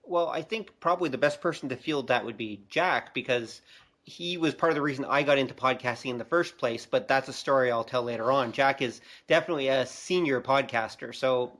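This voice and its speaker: A man's voice: mid-range voice, a little fast, fairly bright tone. A man co-hosting a podcast, talking in a casual conversational style. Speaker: podcast co-host. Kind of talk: casual chat.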